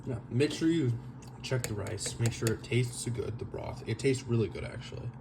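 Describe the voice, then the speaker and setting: A young man rambles through a home cooking vlog, full of fillers and poking fun at himself. His voice sits at an ordinary pitch and is picked up on a camera mic in his kitchen, and he sometimes drifts away from it. A steady hiss of noise runs under the whole recording. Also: normal speed, gravelly. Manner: self-deprecating, rambling, filler-heavy. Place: home kitchen, moving around the stove.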